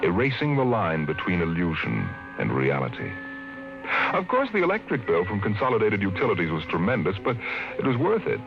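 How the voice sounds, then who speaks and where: Deep-voiced male radio narrator. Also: huge pitch swings, creaky. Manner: measured, slowing for dramatic effect. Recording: muffled old radio broadcast with music.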